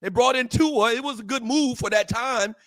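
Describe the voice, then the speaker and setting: A man hosting a sports talk show, fervent and emphatic, repeating phrases and rising to shouts, his voice a little hoarse. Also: very high voice, moderate pace, no room sound. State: slightly hoarse, voice worn from shouting. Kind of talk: impassioned sports talk monologue.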